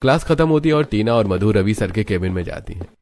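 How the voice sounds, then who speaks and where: Guy reading out a cartoon tale, relaxed dark tone, bit deep, music under it. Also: fast talker. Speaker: narrator. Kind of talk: scripted cartoon story narration.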